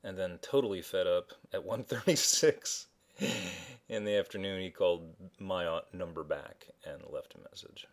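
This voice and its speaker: A man's voice: sits low, moderate pace, a little hiss or hum. A man narrating informally as he introduces archived messages, in a hesitant, matter-of-fact way.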